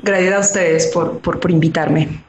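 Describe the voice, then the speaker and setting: A woman's voice: fairly low pitch, steady tone, normal speed, darkish tone, reverberant. A young adult woman from Colombia with a Colombian accent, telling her story as an interview guest over a video call, chatty and full of fillers. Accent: Colombian Spanish.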